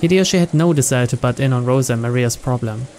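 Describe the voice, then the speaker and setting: A man's voice: medium pitch, fast, a little mellow, slight background noise. A man reading visual novel narration aloud in a storytelling style. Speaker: narrator, let's player.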